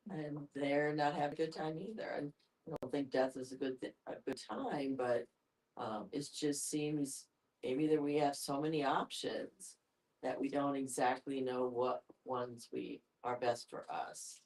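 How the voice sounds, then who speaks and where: Older participant in a reflective discussion, thinking aloud, medium pitch, picked up by a distant mic. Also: steady tone, measured pace, darkish tone. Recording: distant microphone.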